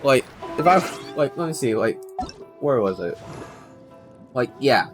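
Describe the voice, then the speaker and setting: A man chatting casually to viewers on a game livestream, rambling and halting as he doubles back on his sentences. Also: mid-range voice, animated. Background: game music.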